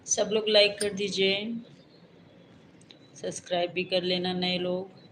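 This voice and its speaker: A woman from Uttar Pradesh hosting a casual live stream. She greets viewers by name and asks them calmly to like and subscribe. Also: fairly low pitch, slow, slight background noise, low-quality recording. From Uttar Pradesh, India. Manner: calm, greets commenters by name and asks for likes.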